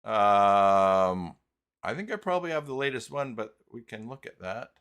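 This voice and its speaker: A man hosting an unscripted live software tutorial, talking haltingly as he thinks aloud while working. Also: on the low side, animated, clear, resonant tone. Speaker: host of live tutorial stream.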